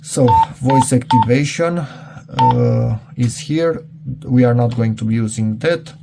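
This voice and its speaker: A man's voice: mid-range voice, takes it slowly, gravelly, heavy background noise. A middle-aged man giving a casual, unscripted product demonstration, hesitant and rambling as he thinks aloud. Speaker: product reviewer.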